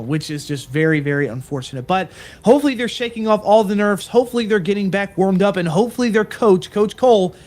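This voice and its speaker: Guy casting a team stream, kinda high voice, earnest and repeats himself a lot. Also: darkish tone. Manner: earnest, repetitive hype talk with fillers. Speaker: esports caster. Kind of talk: live esports commentary.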